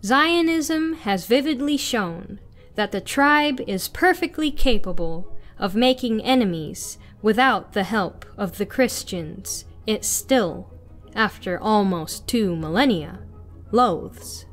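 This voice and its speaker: A young woman narrates a written piece over clearly audible music. Her pitch sits in the middle for a woman. She reads very slowly, in a deliberate and formal way. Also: expressive. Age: young adult. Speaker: narrator reading an article aloud. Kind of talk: scripted read-aloud narration.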